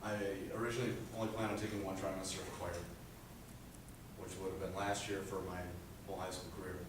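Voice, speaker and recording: Teen boy, low monotone voice, earnest student presenter, distant mic in an echoey school room with noise. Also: fast talker. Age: teens. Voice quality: low speaking voice. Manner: earnest, rambling with many "uh" fillers. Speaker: high school student presenting a senior project. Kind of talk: presentation speech.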